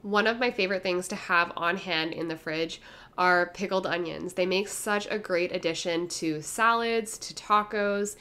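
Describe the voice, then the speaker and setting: A young woman giving a gentle, measured voice-over as she explains her home cooking step by step. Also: on the low side, smooth. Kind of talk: calm instructional voice-over.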